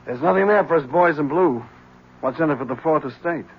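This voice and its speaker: An actor playing a breezy police lieutenant in a 1970s radio drama, colloquial, teasing and probing. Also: male voice, a little high, a little fast, breathy edge. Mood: needling. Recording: dull, hissy old radio recording.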